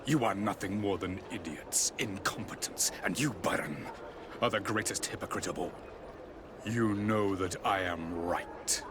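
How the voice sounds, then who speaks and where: Clear outdoor noise sits behind a fanatical villain doctor from a video game. He talks slowly and deliberately in a somewhat low voice. Every phrase is bitter, defiant and scornful. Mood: contemptuous, bitter. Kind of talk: dramatic video game acting. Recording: noisy outdoor ambience behind voice.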